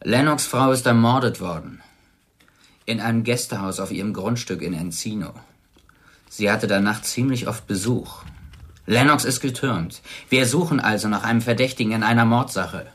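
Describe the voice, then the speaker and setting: An actor playing a bullying police detective in a radio crime drama, curt, hostile and authoritative. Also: male voice, fairly low pitch, moderate pace, a bit bright. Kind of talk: German radio play acting.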